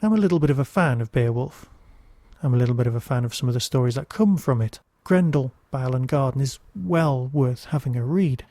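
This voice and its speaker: A man's voice: medium pitch, animated, brisk, dark tone, no room sound. A man hosting a podcast, giving casual, digressive review commentary with wry asides.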